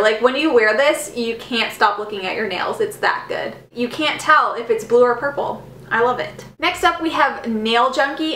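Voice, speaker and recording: A woman's voice: a little high, a bit bright, echoey room. A young woman in her twenties hosting a beauty channel, chatting upbeat through a nail polish review at home. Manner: chatty, upbeat, repeats words for emphasis. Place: living room at home.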